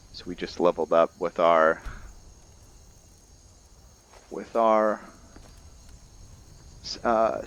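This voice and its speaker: A man giving casual commentary on a game roleplay, thinking aloud in an unhurried way. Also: low-pitched, fairly bright tone, highs cut off. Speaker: gamer narrating roleplay playthrough.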